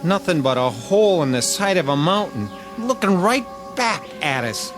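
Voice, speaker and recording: An actor playing an uneasy, grumbling infantry soldier in a radio war drama, sounding incredulous. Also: male voice, fairly high pitch, big pitch swings, normal speed, music in the background.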